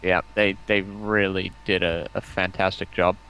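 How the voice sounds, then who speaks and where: Casual male stream guest: bright, forward tone on the low side, enthusiastic and rambling. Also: level tone, measured pace, a little hiss or hum, rough recording. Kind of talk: casual chat.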